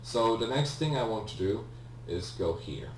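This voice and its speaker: Guy doing a lets play, deep voice, kinda rambly and hesitant, mic sounds far off w some hum. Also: animated, moderate pace, a little mellow. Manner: hesitant, rambling, self-correcting. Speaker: let's player, video game host. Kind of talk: casual gameplay commentary. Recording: roomy, distant mic with faint hum.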